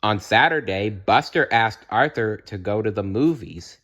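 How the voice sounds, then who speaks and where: A man reading a children's story aloud as narrator, with expressive delivery. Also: fairly low pitch, unhurried, highs cut off. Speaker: storybook reader.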